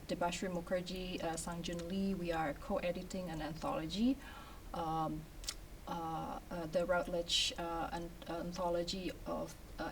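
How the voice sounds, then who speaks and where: Halting low-voiced woman on a panel. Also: slight background noise. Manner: measured, halting, many fillers. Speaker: academic and author. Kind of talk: panel talk. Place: event room. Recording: voice from a distant mic.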